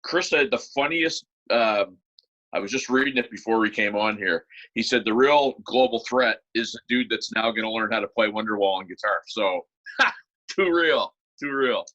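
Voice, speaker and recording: Male co-host, bright forceful voice, casual chat, slightly dull lo-fi recording. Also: on the low side, moderate pace. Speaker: talk show co-host.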